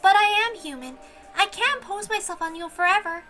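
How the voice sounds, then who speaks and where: A woman voicing a polite young servant girl, humble but resolute, with soft, courteous, earnest speech. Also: extremely high pitch, lively intonation, a little fast, soft background music, pitched above the performer's own voice, exaggerated voice. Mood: apologetic.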